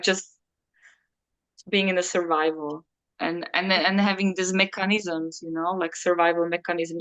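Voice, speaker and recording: A woman whose first language is Slovak, talking in a reflective interview and often pausing and searching for words. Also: lowish voice, a bit bright. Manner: halting, searching for words, many fillers. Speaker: interview guest.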